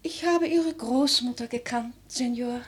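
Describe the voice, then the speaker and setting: A woman's voice: on the high side, measured pace, slightly rough. Blunt old noble grandma, takes her time, stern, old radio play. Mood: blunt, imperious. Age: older. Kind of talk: 1940s radio verse drama acting.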